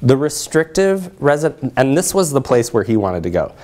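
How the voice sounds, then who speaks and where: A man's voice: medium pitch, big pitch swings, moderate pace, mellow, slight background noise. An American man in his thirties giving an explanatory research presentation in a lecture room, checking in with his listeners. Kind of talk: conference presentation with audience questions.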